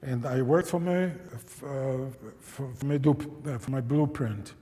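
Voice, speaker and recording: A man in his nineties, a native German and Czech speaker, recounting a personal memory to an audience in a thoughtful, measured way, often restarting phrases and asking listeners questions. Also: mid-range voice, warm tone. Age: 90s. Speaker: guest speaker. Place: church sanctuary. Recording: reverberant church hall.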